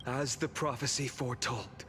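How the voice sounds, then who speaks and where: An actor playing a solemn, resolute young hero in a video game, speaking gravely and deliberately. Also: male voice, medium pitch, steady tone, background noise, natural voice. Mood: grave, determined. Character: solemn, resolute young male hero facing his final destiny. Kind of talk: dramatic video game voice acting. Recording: game audio under loud music.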